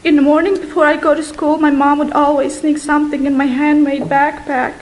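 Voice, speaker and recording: A young woman from Bosnia slowly and earnestly telling her life story as a testimony. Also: high voice, little pitch movement, low-quality recording. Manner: slow, earnest, with long pauses. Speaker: guest giving a testimony. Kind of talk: slow personal testimony.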